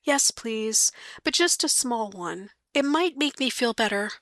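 Sweet soft widow-type lady pleading gently, woman reading her, high and bright, slow drawn-out words. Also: lively intonation, no room sound, studio quality. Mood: sweetly pleading. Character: soft-spoken grieving housewife, sweetly persuasive.

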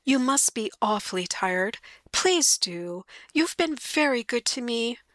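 A woman voicing a soft-spoken, grieving housewife who gently coaxes the men around her, drawing out her words. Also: fairly high pitch, lively intonation, dry recording. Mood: sweetly pleading. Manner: gentle pleading, drawn-out words.

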